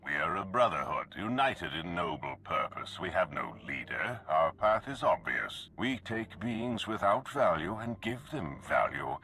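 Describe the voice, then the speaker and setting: A man's voice: low-pitched, sing-song, a little slow, few pauses, bright, forceful tone, soft background music, heavily acted. A man voicing a stern, zealous cult spokesman in a video game, with a declamatory manner. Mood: solemn zeal.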